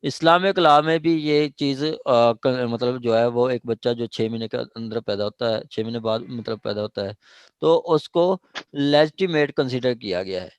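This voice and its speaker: A man's voice: medium pitch, normal speed, clean tone, no room sound. A man with a Pakistani accent lecturing on law, reading out statutes and explaining them while switching between two languages. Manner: explanatory, code-switching between Urdu and English, with frequent check phrases.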